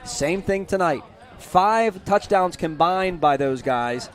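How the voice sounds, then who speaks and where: A man calling a high school football broadcast from the press box, chatty and energetic, and losing his voice. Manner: chatty, energetic, reads scores quickly. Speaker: play-by-play broadcaster. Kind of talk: postgame sports commentary.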